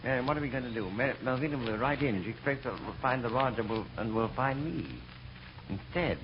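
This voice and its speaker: A man's voice: medium pitch, brisk, close to an everyday voice. A man playing a flustered, put-upon middle-aged husband posing as a mystic in an old radio comedy, hesitant, stammering and dryly sarcastic. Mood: exasperated. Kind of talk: 1940s radio comedy acting. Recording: dull, hissy old radio recording.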